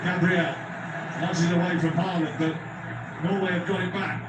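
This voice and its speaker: A man's voice: high-pitched, flat delivery, moderate pace, recorded from a distance. A man calling a football match play by play, his voice climbing as the attacks build. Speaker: football commentator. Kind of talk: live match commentary. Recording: broadcast played through speakers into a noisy, echoey room.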